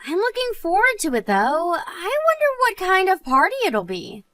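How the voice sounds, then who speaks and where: A woman's voice: very high-pitched, huge pitch swings, normal speed, sharp tone, dry recording, studio quality, full character voice. A woman playing an earnest married woman and loyal friend in a scripted story drama. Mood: calm, chatty.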